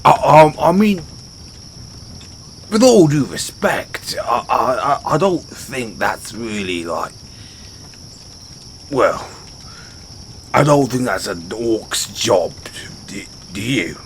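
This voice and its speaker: A man's voice: medium pitch, very animated, slight background noise. A man playing a big, brash orc barbarian in a fantasy audio roleplay, speaking colloquially straight to the listener. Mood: defensive, flustered. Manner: colloquial, halting when defensive. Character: big, brash male orc barbarian, rambunctious but sheepish. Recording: nature ambience with crickets behind. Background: outdoor night ambience, crickets.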